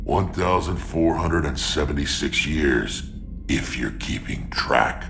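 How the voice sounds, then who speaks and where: A man voicing a proud, battle-hardened alien clan chief, gruff, blunt and gravelly, in a video game. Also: extremely low pitch, expressive, takes it slowly, fairly bright tone, soft background music, exaggerated voice. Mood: gruff, defiant. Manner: blunt, combative, growling. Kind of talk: cinematic video game acting.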